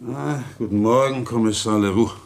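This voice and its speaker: A man's voice: mid-range voice, moderate pace, creaky, lightly acted. A man acting as an uneasy farmhand in a radio drama, apologetic and defensive. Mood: nervously polite. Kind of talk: German radio play dialogue.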